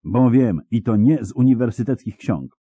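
A man voicing a defiant teenage girl witcher with a sharp, cutting manner. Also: mid-range voice, lively intonation, moderate pace, slightly rough, dry recording, voice pushed up.

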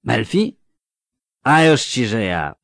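A man's voice: medium pitch, big pitch swings, slow pace, gravelly, no echo, clean studio sound, voice pushed up, full character voice. A man voicing a drunk, boastful young country lad who talks in a slurred rustic dialect. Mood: tipsy.

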